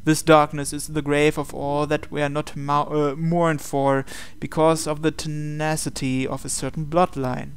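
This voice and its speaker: A man with a slightly high, clean and resonant voice hosts a game playthrough. He reads visual novel narration aloud and adds his own commentary. His delivery is measured, with pauses between phrases. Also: flat delivery, clean tone.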